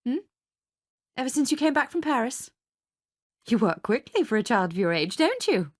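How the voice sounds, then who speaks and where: A woman's voice: on the high side, big pitch swings, moderate pace, no echo, clean studio sound, pitched above the performer's own voice. A woman playing a jealous, faded mother in a radio drama, speaking in bitter, scornful accusations. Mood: cold sarcasm.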